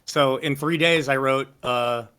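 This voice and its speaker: A man with a low voice casually telling stories in an interview, rambling with lots of fillers and restarts. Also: unhurried, sharp tone, smooth. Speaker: singer interviewee. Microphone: video call.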